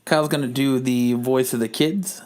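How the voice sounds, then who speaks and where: Male podcast host, mid-pitched, loose and jokey, informal banter with the odd curse. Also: fairly even intonation, moderate pace, darkish tone. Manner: jokey, profane. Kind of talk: casual banter introducing a comedy reading.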